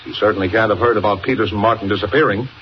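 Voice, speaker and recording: An actor playing a brisk, urgent newspaper boss in 1940s radio style, speaking with emphatic authority. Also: male voice, on the low side, close to an everyday voice. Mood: grave, urgent. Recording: muffled, noisy 1940 radio recording.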